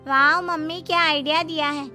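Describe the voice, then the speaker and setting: A woman voicing young married daughters who grumble in a whiny, animated way about lazy husbands, in a cartoon story. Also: high-pitched, normal speed, music in the background, pitched above the performer's own voice, lightly acted. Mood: eager, teasing.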